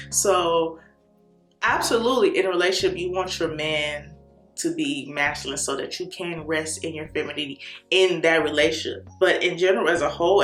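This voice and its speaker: A young woman with a Chicago accent, talking to the camera in an energetic, slangy way and laughing often. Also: deep voice. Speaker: vlogger. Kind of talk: casual talk to camera. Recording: echoey room with soft guitar music.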